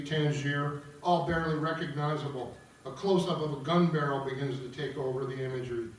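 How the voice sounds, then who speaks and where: A man reading stage directions and explaining an opera to an audience as narrator of a table read. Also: on the high side. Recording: very echoey room, distant mic, music.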